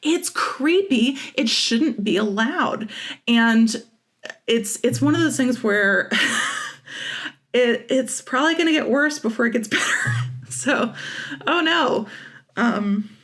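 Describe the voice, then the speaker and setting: A woman's voice: a little high, expressive, slow pace, long pauses, fairly bright tone, soft background music. An American woman talking casually and emphatically as an interview guest, giving opinions and repeating phrases for stress. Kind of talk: casual, opinionated creative talk.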